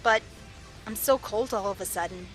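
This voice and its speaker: A woman's voice: mid-range voice, moderate pace, a bit bright, faint music behind, natural voice, low-quality recording. A woman playing an uneasy young heroine in a horror audio drama, speaking hesitantly. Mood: nervous.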